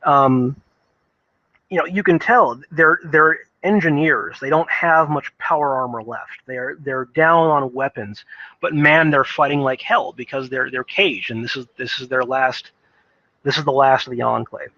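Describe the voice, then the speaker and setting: A man's voice: mid-range voice, measured pace, bright, forceful tone, no room sound. A man with a mid-range voice talks off the cuff about video games, earnest and emphatic. He rambles and doubles back on his words. The sound is dry and close, with a dull, lo-fi edge. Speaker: video game commentator. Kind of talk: casual unscripted opinion commentary on video games.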